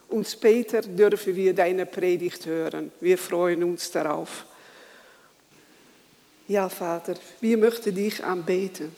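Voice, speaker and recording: A worship leader who welcomes the congregation, prays and reads scripture in a slow, halting way, with small grammar slips. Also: medium pitch, dark tone, long reverb tail. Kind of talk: church welcome, prayer and scripture reading.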